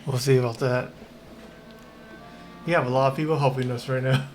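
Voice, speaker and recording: A man's voice: medium pitch, fairly even intonation, normal speed. A man giving casual, wry reaction commentary over a video game as a streamer. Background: faint game music.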